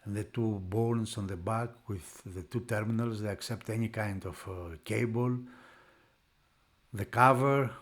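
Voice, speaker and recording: A man who is not a native English speaker, narrating a product unboxing off the cuff in halting phrases. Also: lowish voice. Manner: halting, piecing phrases together. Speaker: product reviewer.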